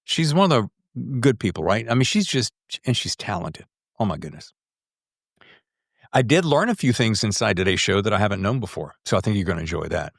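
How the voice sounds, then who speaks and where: Animated man hosting a chatty podcast. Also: on the low side, a little fast, gravelly, no echo, studio quality. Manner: playful, rambling, self-teasing asides. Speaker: podcast host.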